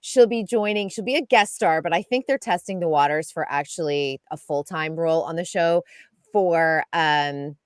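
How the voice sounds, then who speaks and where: A woman giving a chatty, lively entertainment news segment on a radio show, laughing often. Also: low-pitched, normal speed, smooth, dry recording, clean studio sound. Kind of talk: casual radio chat.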